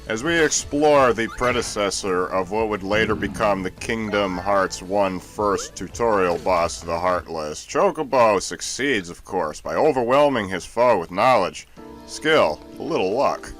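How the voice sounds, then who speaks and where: A man's voice: on the low side, expressive, measured pace, fairly bright tone, faint music behind. A man giving casual, joking commentary over a video game as a co-commentator. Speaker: let's play co-commentator.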